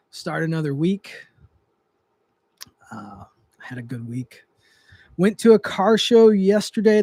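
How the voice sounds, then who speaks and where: A man's voice: sits high, darkish tone, dry recording. A young man hosting a casual livestream, rambling with frequent restarts and fillers, seated in a chair at home on a close mic, his voice going dry. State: voice getting dry. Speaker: livestream host, trigger tuner.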